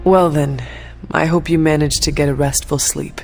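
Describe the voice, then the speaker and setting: Composed, formal young hero. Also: female voice, low-pitched, steady tone, faint music behind. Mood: concerned. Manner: formal, measured. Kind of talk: dramatic video game acting.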